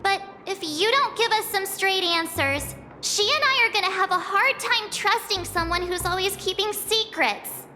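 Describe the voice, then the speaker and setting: An actress voicing an excitable, easily flustered girl companion in a video game. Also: very high-pitched, moderate pace, few pauses. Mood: wary. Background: game music.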